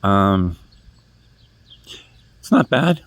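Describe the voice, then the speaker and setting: Low-voiced man with a New England accent. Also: sing-song, drawn-out words, no room sound. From New Hampshire, New England. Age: over 50. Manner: meandering, self-deprecating, corrects himself mid-sentence. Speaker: first-time book vlogger. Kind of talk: casual rambling monologue. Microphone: phone held in hand.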